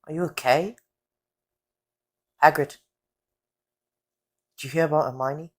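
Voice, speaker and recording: A man's voice: a little high, fairly even intonation, slow. A young-sounding man playing a worried schoolboy hero in an audio drama, earnest and urgent. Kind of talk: fan audio drama acting.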